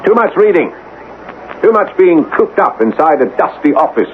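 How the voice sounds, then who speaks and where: A scoffing British major from a colonial radio mystery. He blusters and dismisses with lively swoops of pitch. The sound is narrow and tinny with faint hiss. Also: normal speed. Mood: scoffing, defiant. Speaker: actor in a radio drama. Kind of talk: old-time radio mystery acting. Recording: tinny, noisy old radio broadcast.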